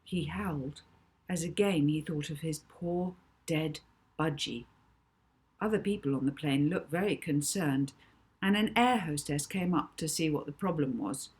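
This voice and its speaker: A woman author reading her children's novel aloud with dramatic, deliberate emphasis. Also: low-pitched, a little mellow, breathy edge. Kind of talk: expressive children's audiobook narration.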